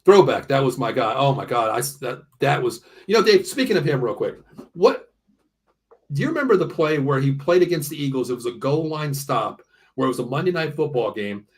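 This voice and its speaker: A man in his early fifties with an American accent hosts casual sports talk. His voice is rough and husky with a lively, wide-moving pitch. He speaks bluntly, repeating phrases as he drives a point home. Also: brisk. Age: early 50s. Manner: animated, blunt, swearing, often repeats himself. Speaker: sports show host. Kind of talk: casual sports banter with ad reads.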